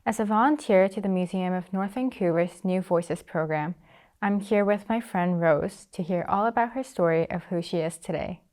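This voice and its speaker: A teenage girl working as a student interviewer, politely reading prepared questions in a measured way. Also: on the low side, a little mellow. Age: teens.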